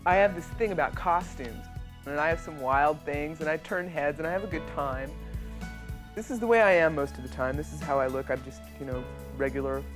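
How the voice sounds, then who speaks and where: A woman with a deep voice talks frankly and wryly about herself in an interview, often restarting her sentences. Faint music plays underneath. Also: a little fast. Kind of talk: candid personal interview.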